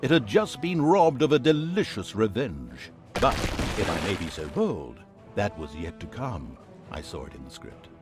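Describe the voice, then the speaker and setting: A man's voice: on the low side, very animated, normal speed. A man giving wry, mock-grandiose, theatrical narration for a comedic fantasy game. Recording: music behind the voice. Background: music.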